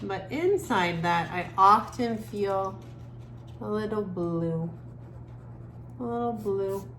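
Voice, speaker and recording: Woman doing a kids art lesson, slow and musing, echoey room w background noise. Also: mid-range voice, lively intonation. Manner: gentle, musing, talks while drawing with trailing pauses. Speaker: art teacher for children. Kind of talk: casual creative drawing lesson, thinking aloud.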